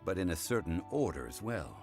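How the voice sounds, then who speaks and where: A calm, knowing scholar from a video game. His voice is very low yet richly varied in melody. He recounts legends in formal, measured phrases, with music playing underneath. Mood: composed, instructive.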